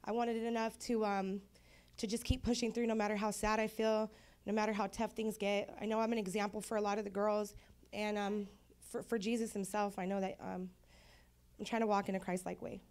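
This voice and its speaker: A woman's voice: mid-range voice, flat delivery, fairly quick, darkish tone. A young woman giving an earnest, heartfelt personal testimony on a church stage into a handheld mic. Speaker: program graduate.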